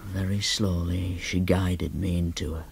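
An actor playing an awkward young man, narrating flatly in the first person and grunting replies. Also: low-pitched, unhurried, creaky, a little hiss or hum.

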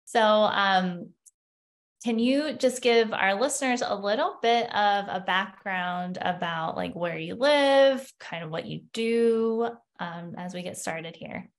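A woman's voice: medium pitch, slow. A middle-aged woman hosting a podcast in a conversational interview style over a video call. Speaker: podcast host, doctor. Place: indoor room, video call. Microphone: desktop microphone on a video call.

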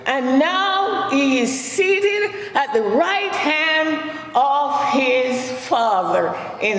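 A middle-aged woman minister with a Jamaican accent, preaching a sermon with frequent amens and shouted praise. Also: high-pitched. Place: large church sanctuary. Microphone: handheld mic. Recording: echoey church hall.

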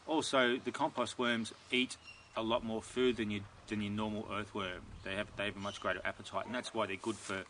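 A middle-aged man answering viewer questions about his garden in a relaxed, rambling way, recorded outdoors in a backyard. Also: on the low side, slight background noise. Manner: relaxed and rambling, many ums and restarts. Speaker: gardening video host. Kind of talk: casual Q&A, reading viewer questions.

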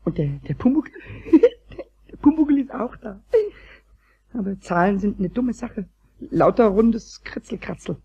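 Mischievous little kobold, very hoarse squeaky croak with fry, stammering excitedly, children's radio play, dull cassette sound. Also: male voice, measured pace, heavily acted. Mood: busy, pleased. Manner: stammering, repeating words excitedly. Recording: dull old cassette recording.

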